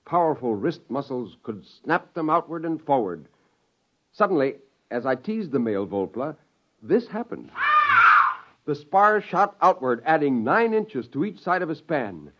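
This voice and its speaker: Animated, eccentric middle-aged American scientist. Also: male voice, fairly high pitch, measured pace, a little hiss or hum. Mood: narrating, excited. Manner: theatrical, chuckling, self-amused. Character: eccentric, gleeful scientist plotting a joke on mankind. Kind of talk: 1950s radio drama acting with first-person narration. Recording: dull, lo-fi 1950s radio recording.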